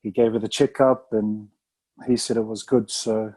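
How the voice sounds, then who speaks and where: A man, a Samoan speaker, chatting casually as a rugby player guest in a relaxed, rambling way. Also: on the low side, normal speed. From New Zealand. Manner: relaxed, rambling, full of "mate" and "bro". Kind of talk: casual podcast interview.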